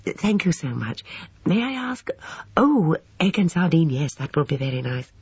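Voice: mid-range voice, sing-song, normal speed, darkish tone, husky, dry recording, highs cut off, pitched above the performer's own voice, exaggerated voice. A narrator voicing a sweet, fluffy old English lady with a gushing, genteel, rambling politeness in an audiobook. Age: older.

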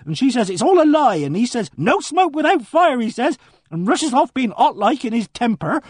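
A man's voice: very high voice, big pitch swings, normal speed, husky, no room sound, clean studio sound, pitched above the performer's own voice, exaggerated voice. A man voicing an earnest, indignant middle-aged village charwoman who rambles breathlessly. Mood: agitated. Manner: breathless, rambling country speech.

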